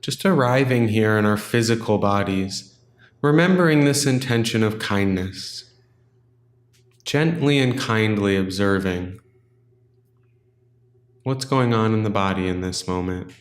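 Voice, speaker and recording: A man leading a guided meditation in a gentle, unhurried, instructional way, leaving long pauses between phrases. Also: lowish voice, expressive, echoey room.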